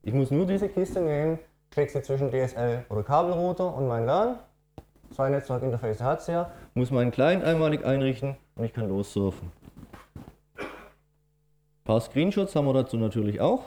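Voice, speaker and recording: Swabian-accented presenter, middle-aged, mellow dark voice, explains plainly with filler sounds. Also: male voice, medium pitch, lively intonation, normal speed. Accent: Swabian German. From Swabia, Germany. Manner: explanatory, matter-of-fact, with frequent "ähm" fillers. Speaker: conference speaker. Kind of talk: technical conference presentation.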